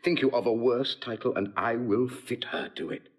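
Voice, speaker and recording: An actor playing a cold, scheming nobleman, measured and insinuating, in classical Shakespearean style. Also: medium pitch, lively intonation, slightly rough. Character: cold scheming nobleman villain, slandering brother. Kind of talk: classical Shakespearean audio drama acting.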